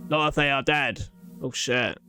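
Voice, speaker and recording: A man's voice: mid-range voice, steady tone, unhurried, over music. A young man giving casual reaction commentary, thinking aloud in an informal, sweary way. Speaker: reaction video host.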